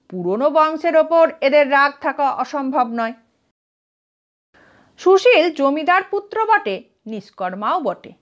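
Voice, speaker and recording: A woman tells a literary story in a high voice. Her delivery is slow and expressive, with pitch that moves about a great deal.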